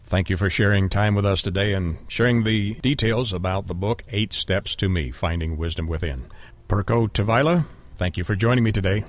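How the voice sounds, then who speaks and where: A low-voiced man hosting an interview show, measured and courteous. His voice is recorded dry and close, with no room sound. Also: dry recording. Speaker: radio show host.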